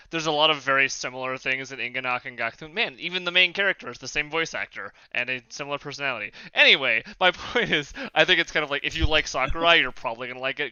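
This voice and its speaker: Bright, crisp-voiced man on a dry recording. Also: mid-range voice, no room sound, dull audio. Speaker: podcast panelist. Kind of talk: casual podcast discussion.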